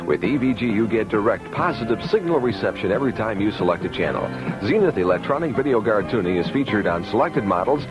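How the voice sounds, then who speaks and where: A man's voice: on the low side, lively intonation, fast, few pauses. A man delivering a scripted 1970s radio commercial as an announcer. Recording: muffled old radio commercial, music behind.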